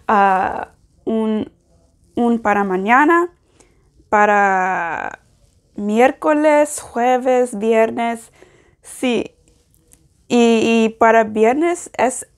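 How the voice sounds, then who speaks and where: A young woman, a native English speaker, talking through a casual video diary in learner Spanish, halting and correcting herself with many fillers, recorded at home on a lapel mic. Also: fairly high pitch. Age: young adult. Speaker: language learner vlogger. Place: indoor room at home.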